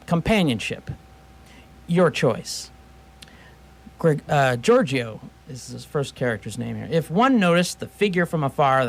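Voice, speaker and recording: A man tells a radio theatre story as its narrator. His voice is on the high side and swings widely in pitch. His phrasing is wry and wordy, with a literary bent. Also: vocal fry.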